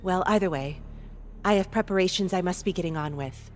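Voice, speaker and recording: A woman's voice: on the low side, fairly quick, natural voice. A woman playing an earnest, resolute dragon hunter in a video game cutscene.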